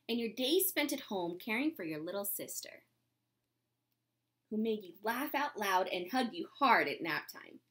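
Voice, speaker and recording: A woman's voice: medium pitch, breathy edge. A woman in her thirties reading a picture book aloud to children expressively, with dramatic emphasis and chatty asides. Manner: animated, dramatic emphasis, asking the young listeners questions. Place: small room indoors at home.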